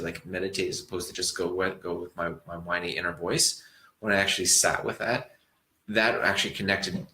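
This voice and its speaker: A man giving a relaxed, reflective mindfulness talk to an audience, rambling a little and restarting his sentences as he thinks aloud. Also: deep voice. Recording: echoey room.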